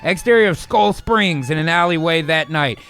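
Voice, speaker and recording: A man co-hosting an improvised comedy show, mixing casual, halting banter with story narration. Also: on the high side, animated, a bit bright, faint music behind. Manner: profane, informal, halting asides.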